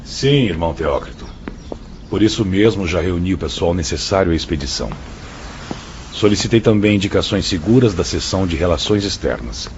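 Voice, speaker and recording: An actor with a Brazilian accent playing an efficient, respectful spirit department chief in a radio drama, formal and businesslike. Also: male voice, low-pitched, lively intonation, a little fast, darkish tone. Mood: dutiful, assured. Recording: dull lo-fi audio, faint noise.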